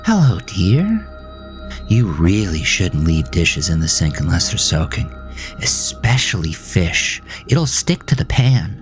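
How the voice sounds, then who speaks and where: A man voicing an uncanny dead mother in a horror audio drama, soft-spoken, sweetly nagging and wistful. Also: low voice, sing-song, takes it slowly, faint music behind, full character voice. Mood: sweetly motherly. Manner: motherly, gently chiding.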